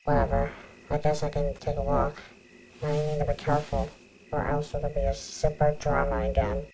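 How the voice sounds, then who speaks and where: A woman's voice: deep voice, completely flat delivery, normal speed, dark tone, slight background noise, close to an everyday voice, poor audio. A woman playing a tough young male outlaw in an ASMR roleplay, speaking in a curt, brooding, protective way. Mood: wary, brooding. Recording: background music under the voice.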